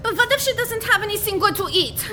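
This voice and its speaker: Gretel, a woman doing a bratty shrill girl. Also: fast, bright, forceful tone, slight background noise. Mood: bickering. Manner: snappy, bickering, giggly. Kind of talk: comedic audio drama.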